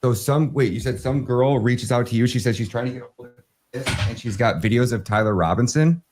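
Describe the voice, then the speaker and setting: A man chatting casually in an excitable, emphatic and heavily profane way. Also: medium pitch, fairly quick, slight background noise. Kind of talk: casual chat.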